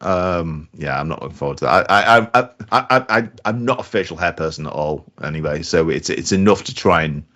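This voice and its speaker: A man's voice: low voice, brisk, few pauses, a bit bright. A man co-hosting a casual comedy podcast, hesitant, with many stammered restarts and giggles. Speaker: podcast co-host. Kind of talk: casual banter.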